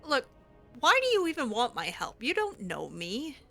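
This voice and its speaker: A woman's voice: sits high, wildly swooping pitch, moderate pace, gravelly, pushed and bright, faint music behind. A woman improvising in character for a game roleplay, sardonic and hesitant, given to sighing. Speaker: gamer voicing her own character in a roleplay playthrough.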